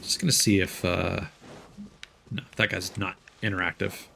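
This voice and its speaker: A man's voice: deep voice, level tone, moderate pace, creaky, pushed and bright, slight background noise. Casual man streaming a video game. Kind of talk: casual gaming livestream commentary.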